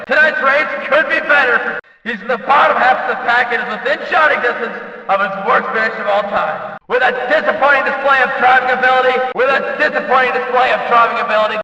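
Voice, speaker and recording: A man playing an excitable race announcer in a video game, calling the race from the booth in a folksy, emphatic, dramatic way. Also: extremely high pitch, exaggerated voice. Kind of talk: excited motorsport race commentary. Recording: echoey track PA, slightly dull, distant.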